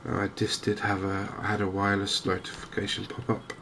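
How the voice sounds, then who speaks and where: A man giving softly spoken ASMR commentary on a game, hushed, hesitant and unhurried, close to the microphone. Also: fairly low pitch, completely flat delivery. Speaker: gaming video host. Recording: clear background noise.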